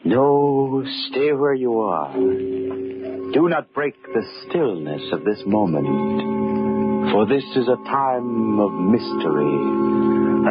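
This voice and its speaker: A man narrates an old-time radio mystery, his voice rising and falling widely as he lets words hang in a hush. The broadcast sounds dull and muffled, with loud music swelling behind him. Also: medium pitch. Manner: hushed, ominous, drawn-out delivery. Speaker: narrator and host.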